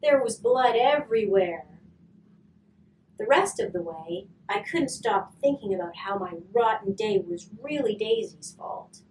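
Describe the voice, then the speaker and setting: Woman reading a children's story, very lively pitch. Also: a little slow. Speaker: volunteer storybook reader. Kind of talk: expressive children's storybook narration. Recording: roomy, distant mic, faint noise.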